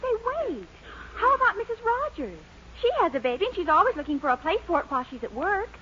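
An actress playing an excitable, resourceful young daughter in a 1940s radio sitcom. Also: female voice, very high-pitched, quick pace, exaggerated voice. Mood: scheming. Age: young adult. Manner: eager, exclamatory. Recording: muffled, noisy old radio recording, audience.

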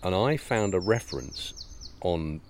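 A man's voice: deep voice, expressive, measured pace, slight background noise. A man co-hosting a podcast in casual chat, wry and teasing, sometimes addressing the listeners directly. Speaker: podcast co-host.

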